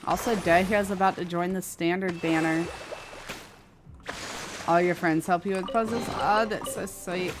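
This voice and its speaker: A woman's voice: fairly low pitch, steady tone, measured pace, long pauses. A woman game streamer chatting casually as she plays, rambling and thinking aloud. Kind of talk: casual livestream gameplay chat. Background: game music.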